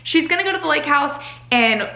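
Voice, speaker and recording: High, bright young American woman, chatty. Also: fairly quick, gravelly. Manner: animated exasperated ranting, heavy use of "like". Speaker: book reviewer. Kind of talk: casual chatty book review to camera. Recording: roomy home echo.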